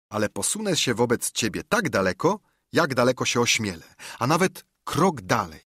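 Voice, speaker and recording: Kindly doctor, voiced by a man: earnest, husky, dry close read for an audiobook. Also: mid-range voice, fairly bright tone, no echo. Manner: earnest, urgent pleading. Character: kindly earnest middle-aged male doctor. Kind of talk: audiobook character reading.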